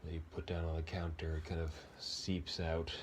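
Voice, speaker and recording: A man leading an unscripted guided meditation in a gentle, halting, unhurried manner with long pauses. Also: extremely low pitch, monotone, a little hiss or hum.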